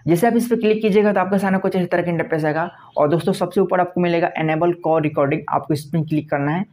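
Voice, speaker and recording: A man narrates a tech tutorial. His voice sits a little high and he moves along quickly. He gives instructions in repetitive, step-by-step phrasing. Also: a little mellow. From India.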